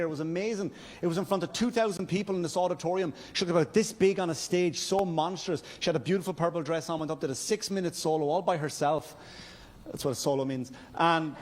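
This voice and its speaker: High-voiced Irish man doing stand-up. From Wexford, Ireland. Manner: halting, stammered, repetitive delivery. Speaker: stand-up comedian. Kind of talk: stand-up comedy storytelling. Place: comedy stage before a live audience. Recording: live club stage, noisy laughing crowd. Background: audience laughter and crowd noise.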